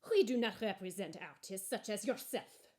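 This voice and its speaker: A woman's voice: mid-range voice, lively intonation, moderate pace, mellow, lightly acted. A woman voicing a snooty, dismissive male art gallery owner in a storybook, with a fussy, haughty manner.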